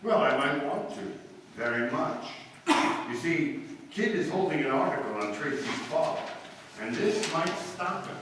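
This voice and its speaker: A man acting in a radio play as a suave, dry-witted upper-class gentleman, measured and polite. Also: on the high side, expressive. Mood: keen, urging. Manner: measured, polite, dryly wry. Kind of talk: live old-time radio play acting. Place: live stage performance in a hall. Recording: distant echoey hall, faint noise.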